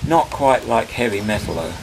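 Gravelly older man reminiscing outdoors. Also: fairly low pitch, lively intonation. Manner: rambling, halting, searching for names. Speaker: interviewee, film director. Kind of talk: casual reminiscing interview. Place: outdoor porch in the countryside. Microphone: camera mic, medium distance. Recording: loud outdoor background noise.

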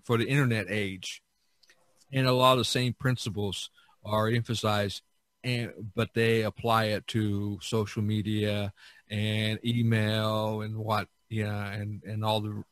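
A man hosting a podcast in a conversational, halting, reflective way. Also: lowish voice, no echo. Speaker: podcast host.

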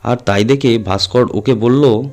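A man's voice: mid-range voice, measured pace, a little mellow, soft background music. A man narrating a scripted suspense story as an audio storyteller, in a dramatic reading style.

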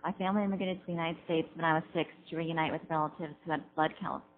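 A young woman testifies at a hearing, hesitant and pausing with ums. Her voice sits a little low. The recording is thin and phone-like with a faint hum. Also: fairly even intonation. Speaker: student witness.

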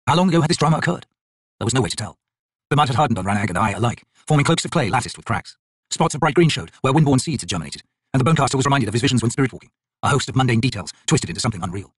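A man reading a fantasy audiobook in a theatrical, solemn, expressive manner. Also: medium pitch, rapid-fire, husky, no echo. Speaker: audiobook narrator, actor. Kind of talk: dramatic scripted fantasy audiobook narration.

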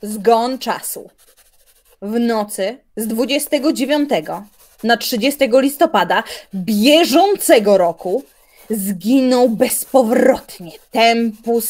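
An actress playing a young woman who reads out grim newspaper notices in a deliberate, dramatic way. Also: a little high, darkish tone. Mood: solemn.

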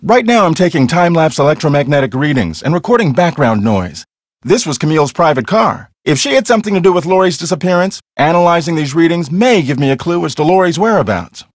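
A man's voice: on the high side, big pitch swings, quick pace, no echo, studio quality. An actor playing an earnest, self-assured male ghost hunter in a mystery video game, explaining things in a matter-of-fact, conversational way. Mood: earnest, explanatory. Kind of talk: acted video game dialogue.

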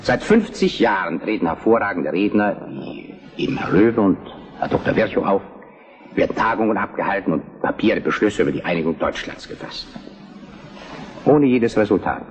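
A man's voice: on the low side, lively intonation, normal speed. An actor playing a resolute, combative Prussian statesman in a historical radio drama, with formal, emphatic oratory. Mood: combative, declamatory. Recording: very noisy archival-sounding radio play.

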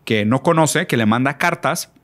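A man in his thirties with a Mexican accent, speaking as a podcast guest who recommends books with fast, slangy enthusiasm. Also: medium pitch, lively intonation, a bit bright. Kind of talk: casual conversation about books. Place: small studio room, indoors. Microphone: desk mic on arm.

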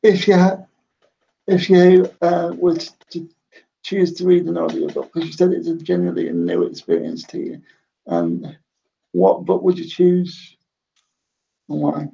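A man with a very gravelly, dark voice hosts an interview over a video call. The audio is dull and lo-fi, and his questions come out halting, broken up by short agreements. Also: a little high, lively intonation. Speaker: interviewer host. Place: home room with bookshelf.

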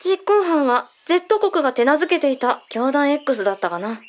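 Radio drama role: female agent giving briefings, clearly high-pitched, blunt, businesslike, mannish wording woman performer. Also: big pitch swings, normal speed. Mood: matter-of-fact, explanatory. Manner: blunt, mannish phrasing, explanatory. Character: brisk, matter-of-fact female intelligence agent briefing a colleague. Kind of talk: Japanese radio drama acting.

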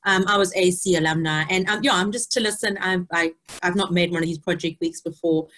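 Woman from Zimbabwe, thirties, lowish voice, chatty, self-deprecating, video call. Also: brisk, fairly bright tone. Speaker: alumna. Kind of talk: casual video call introduction.

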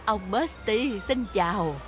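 A woman voicing a polite, caring grandmother car in an animated children's cartoon. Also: mid-range voice, slow pace. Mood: polite, delighted. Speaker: cartoon voice actor. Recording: music behind the voice. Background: music.

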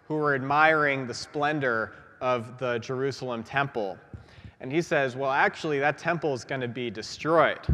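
Congested priest preaching in a reverberant church. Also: male voice, medium pitch, sharp tone. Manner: conversational, many fillers and restarts. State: congested from a head cold. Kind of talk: sermon. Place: large church, in the pulpit. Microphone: gooseneck pulpit mic.

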